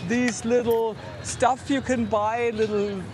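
A woman's voice: fairly high pitch, steady tone, takes it slowly, noisy background. A woman with a German accent giving a live field report from outdoors, talking conversationally with frequent hesitations. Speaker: correspondent. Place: outdoors by a street outside a convention center.

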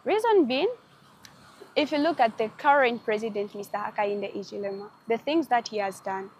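A woman's voice: fairly high pitch, animated, moderate pace, a little mellow. A woman giving her opinion in a street interview. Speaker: member of the public interviewed. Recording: faint street background noise.

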